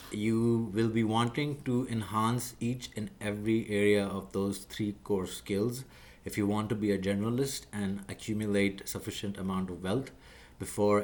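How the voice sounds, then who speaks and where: A man with a somewhat low voice explains career skills as if giving a lecture. His first language is Urdu, and he speaks in a measured way, full of fillers and small self-corrections. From Pakistan. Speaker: video creator explaining job skills. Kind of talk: unscripted talking-head explainer.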